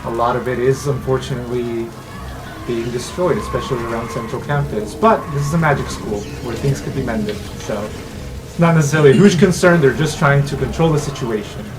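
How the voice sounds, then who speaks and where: Guy running a tabletop game, dramatic storyteller with pauses, mid voice, music playing behind him. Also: medium pitch, poor audio. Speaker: game master and host. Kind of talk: improvised scene-setting narration for a tabletop game. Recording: background music under the voice.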